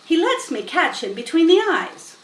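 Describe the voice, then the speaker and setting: Woman playing an excitable farm boy, high-pitched. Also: moderate pace, reverberant, far from the mic. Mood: excited. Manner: yelling, defiant.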